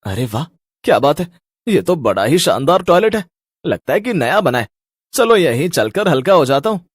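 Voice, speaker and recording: Man playing a carefree, animated cartoon everyman. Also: fairly high pitch, normal speed, breathy edge, no echo, studio quality. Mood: carefree, delighted. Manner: exclaiming, theatrical. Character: carefree, silly film-loving man who turns frightened. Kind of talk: Hindi cartoon story acting.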